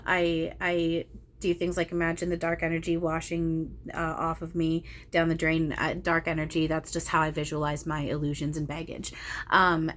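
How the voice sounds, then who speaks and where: Meandering woman in her thirties, lowish. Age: 30s. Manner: soft, meandering, many ums and restarts. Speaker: channeler. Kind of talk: casual spiritual monologue.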